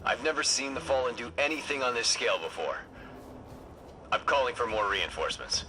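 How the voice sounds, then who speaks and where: An actor playing a calm military scout who gives brisk, matter-of-fact orders. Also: male voice, medium pitch, close to an everyday voice. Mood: urgent. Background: game music.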